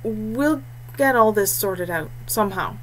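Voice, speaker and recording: A woman's voice: mid-range voice, unhurried, close to an everyday voice, rough recording. A woman voicing a tired, earnest young male heir and fighter in a fantasy audiobook. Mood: uncertain. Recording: clear steady background noise and hum.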